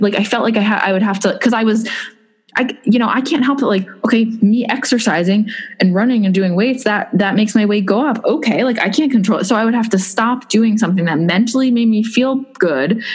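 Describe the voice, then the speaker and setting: A young woman in casual chat, telling a personal story in a rambling way with many fillers and restarts. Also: mid-range voice. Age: young adult. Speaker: blogger recounting personal experience.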